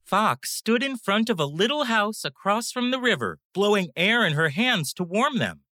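A woman narrating a children's bedtime story in an expressive storytelling manner. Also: medium pitch, normal speed, dry recording, studio quality.

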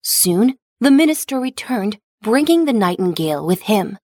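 A woman's voice: medium pitch, very animated, normal speed, mellow, no echo, studio quality. A woman narrator reading a children's fairy tale as scripted storybook narration.

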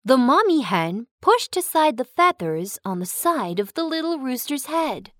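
Expressive woman narrating a children's story. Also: high-pitched, measured pace, no echo, clean studio sound.

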